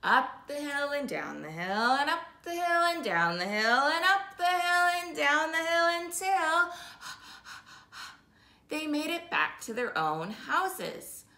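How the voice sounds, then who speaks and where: A woman's voice: high voice, sharp tone. A woman in her thirties telling a story to young children in a sing-song, rhythmic way, drawing out her words. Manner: slow, sing-song, repetitive rhythmic phrasing with drawn-out words. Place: living room, indoors. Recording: roomy indoor echo.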